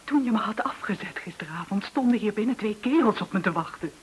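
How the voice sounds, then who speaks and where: An actress in a radio play playing an anxious, distraught woman with a breathy, shaken delivery. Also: mid-range voice, expressive, fast talker, close to an everyday voice. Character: anxious woman, nerves frayed, confiding in a friend. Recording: hissy, clicking old radio recording.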